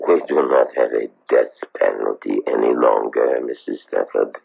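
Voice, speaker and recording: An actor playing a persistent, formal foreign Nazi hunter in a radio drama, coolly probing and insistent. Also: male voice, rumbling low, moderate pace, vocal fry, husky, exaggerated voice. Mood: pressing, insistent. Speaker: investigator seeking a war criminal's extradition. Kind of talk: 1970s radio drama acting. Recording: tinny, band-limited old radio broadcast.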